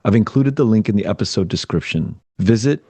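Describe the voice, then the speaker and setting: A man hosting a podcast, reading a scripted intro and promo in a measured, announcer-like way. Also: fairly low pitch, expressive, dark tone, breathy edge, no echo. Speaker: podcast host.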